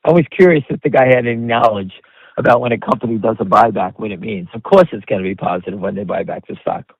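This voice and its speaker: A man's voice: medium pitch, creaky, darkish tone, no echo, muffled recording. A man hosting an off-the-cuff stock commentary call, speaking bluntly and emphatically, repeating himself as he addresses listeners directly. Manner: conversational, talks directly to listeners.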